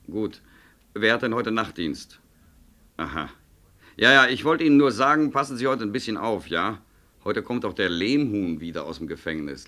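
An officious inspector making calls in a radio drama. His fairly low voice is sharp and lively in intonation, instructing in a brisk yet familiar way. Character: officious but familiar chief inspector on the telephone. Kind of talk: German radio play acting.

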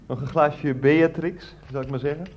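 A man's voice: on the high side, fairly even intonation, normal speed, muffled recording, slight background noise, lightly acted. An actor playing a hesitant, evasive and theatrical family man in a radio drama, rambling as he talks. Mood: genial, tipsy. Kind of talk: Dutch radio drama dialogue.

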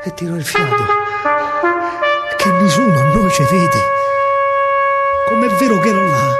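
A man with a Roman Italian accent performs a theatrical verse monologue as a stage storyteller, declaiming emphatically in rhythmic phrases. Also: high-pitched.

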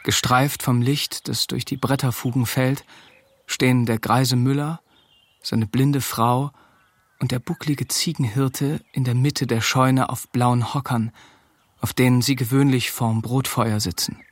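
Sober literary narration for a wartime radio drama. The voice is mid-pitched and recorded very dry, measured and detached. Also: male voice, fairly even intonation, no room sound. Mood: sober, observant. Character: sober male narrator describing wartime scenes.

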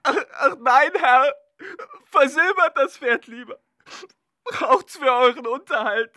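High, bright, pleading young servant boy. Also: slow, no echo, studio quality, heavily acted. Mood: tearful pleading. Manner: pleading, emotional. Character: devoted young servant Niklas, tearful and pleading. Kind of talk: radio play acting.

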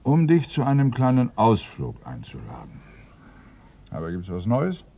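A man's voice: medium pitch, huge pitch swings, a little slow, warm tone, husky, heavily acted. A man acting a seasoned, middle-aged police inspector in a German radio crime drama, curt and authoritative. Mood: dry. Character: seasoned, brusque police inspector giving orders.

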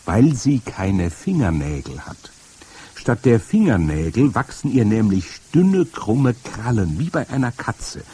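A man's voice: lowish voice, very animated, unhurried, mellow, breathy edge, full character voice. A man voicing a very old grandmother who solemnly warns her grandson about witches. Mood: grave. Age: older. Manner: stern, solemn warnings. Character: very old, stout, wise grandmother.

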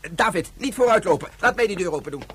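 A man's voice: high-pitched, animated, fast talker, crowd noise. An actor playing a cautious, observant scientist father in a radio drama, analytical and dry in his wording. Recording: faint noise and ambience.

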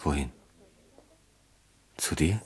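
An actor playing a troubled, subdued engineer in a radio drama, speaking hesitantly and earnestly in short phrases. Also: male voice, extremely slow. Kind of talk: naturalistic German radio drama acting.

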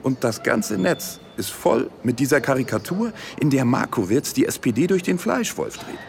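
Anxious male party official, medium pitch, fretful and cautious, radio satire, faint ambient noise. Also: sing-song, normal speed, vocal fry. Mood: worried. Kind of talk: satirical radio drama acting.

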